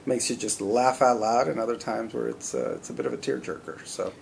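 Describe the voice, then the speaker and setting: A middle-aged man from California, a company CEO telling stories in a relaxed, conversational way as an interview guest, often pausing with "uh" and "you know". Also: mid-range voice, gravelly, a little hiss or hum. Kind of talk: casual interview storytelling.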